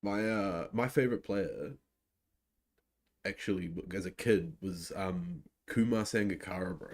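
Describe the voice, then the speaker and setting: A man's voice: lowish voice, lively intonation, a little slow. Young kiwi bloke with a nz accent, chill and chatty, trips over his words a bit. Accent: New Zealand. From New Zealand. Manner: laid-back, chatty, restarts often. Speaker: live stream host. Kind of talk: casual live reaction commentary.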